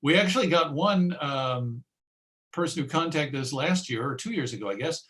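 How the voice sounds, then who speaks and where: A man presenting an informal online talk and answering questions in a hesitant, musing way, often pausing mid-phrase. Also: fairly high pitch, expressive, a bit bright. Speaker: presenter and old-time radio collector.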